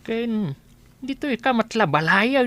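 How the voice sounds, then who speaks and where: A woman acts out an elderly village father in a comedy radio play. The delivery is exasperated and scolding but soft-hearted, with sighs and very lively swings in pitch. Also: a little slow, no room sound. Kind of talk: Ilocano comedy radio drama acting.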